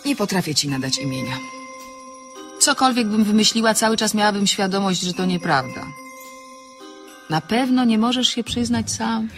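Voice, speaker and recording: A woman's voice: medium pitch, lively intonation, normal speed, long pauses, over music, close to an everyday voice. An actress playing an inquisitive, self-possessed young woman in a radio play, teasing with dry, ornate irony. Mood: teasing, curious. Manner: teasing, ornate, dryly formal phrasing.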